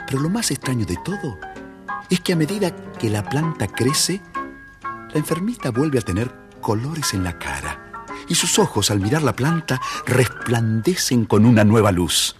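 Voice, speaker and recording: A man's voice: medium pitch, big pitch swings, moderate pace, music in the background. A man expressively narrating a scripted children's story.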